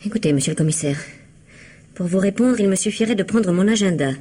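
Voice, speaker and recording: An actress playing an indignant, evasive young woman suspected of murder in a radio mystery, with a husky, breathy, flustered delivery. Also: mid-range voice, animated, fast talker, dark tone, close to an everyday voice. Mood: flustered, affronted. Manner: flustered, hesitant, protesting. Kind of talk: French radio mystery drama acting.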